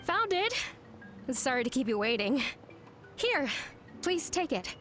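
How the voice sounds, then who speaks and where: An actress playing a cheerful, friendly young ore enthusiast in a video game. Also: female voice, high voice, sing-song, a little slow, faint music behind, full character voice. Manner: bright, friendly.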